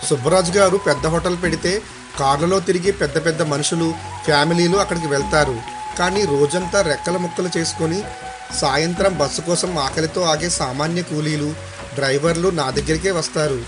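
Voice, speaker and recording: A man's voice: on the high side, brisk, music in the background, natural voice. A man voicing an earnest, hardworking young village snack seller in a moral story. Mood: confident. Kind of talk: acted dialogue in a moral story.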